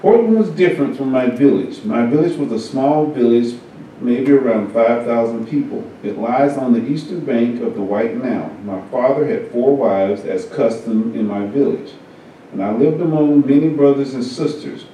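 Man, service reader, very dark mellow tone, heavy room echo. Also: mid-range voice, normal speed, slight background noise. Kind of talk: reading a first-person story aloud at a church service. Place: church meeting room. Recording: very echoey hall, mic far off.

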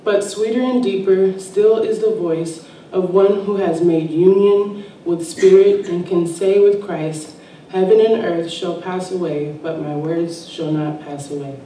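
A woman reading a spiritual text aloud at a church service, slow and measured. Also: fairly low pitch, fairly even intonation, warm tone, slight background noise. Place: church sanctuary. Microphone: distant room mic. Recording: echoey sanctuary from far off.

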